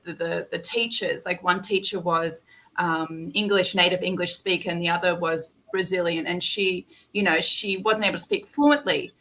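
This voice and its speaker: A woman with a low but bright, forceful voice, recounting a personal story in a relaxed panel discussion. Her speech is full of fillers and restarts. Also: poor audio.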